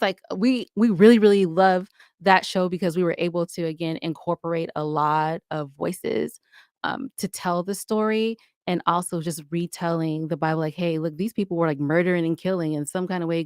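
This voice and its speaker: A woman with a fairly low voice chats in a casual interview. She is candid and playful, telling things in an animated, conversational way. The sound is dry, with almost no room. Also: brisk, smooth, no echo. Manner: chatty, candid, animated storytelling with playful asides. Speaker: podcast guest, audio drama podcaster.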